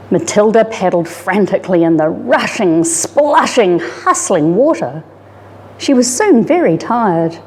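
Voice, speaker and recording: Expressive woman storyteller in reverberant room. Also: mid-range voice. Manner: dramatic, animated storytelling. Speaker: story reader, disaster resilience officer. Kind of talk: expressive children's picture-book reading.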